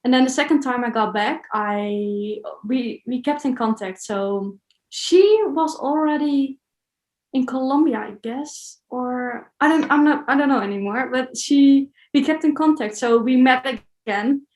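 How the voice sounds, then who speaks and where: A woman's voice: high voice, measured pace, darkish tone, echoey room. A woman from the Netherlands with a Dutch accent, answering interview questions in a casual, thoughtful, hesitant way. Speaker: interview guest, solo traveller.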